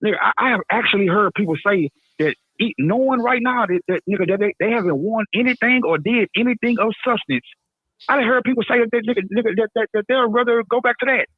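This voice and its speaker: A male caller in casual chat, excitable, repeating and stammering over his words. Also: high-pitched, fast talker, breathy edge, no echo. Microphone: phone. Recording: tinny phone line.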